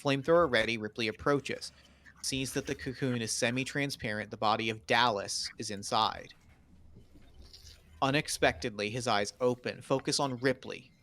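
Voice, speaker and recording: A man's voice: medium pitch, moderate pace, fairly bright tone. A man narrating screenplay stage directions in dramatic, clipped phrases for a table read.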